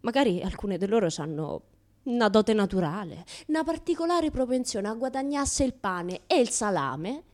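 An actress playing a self-styled naive, defiant young woman in a colloquial radio theatre monologue, with a Roman Italian accent, swinging between hushed asides and louder outbursts. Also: medium pitch, big pitch swings, normal speed, close to an everyday voice. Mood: indignant.

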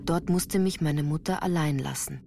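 A woman's voice: low-pitched, moderate pace, vocal fry. A woman reading scripted literary first-person narration for a radio play, composed and carefully articulated. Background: music.

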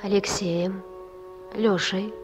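An actress as a tender, plain-spoken young mother in a radio drama. She talks slowly in a mid-range voice, folksy and gently teasing, with music clearly behind her. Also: medium pitch, fairly even intonation, close to an everyday voice. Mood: tender, soothing. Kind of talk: Russian radio play acting.

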